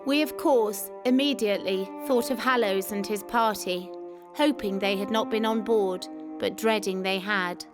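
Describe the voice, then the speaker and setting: A woman's voice: medium pitch, steady tone, moderate pace. A woman reading a scripted first-person wartime memoir as a narrator. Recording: music behind the voice. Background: music.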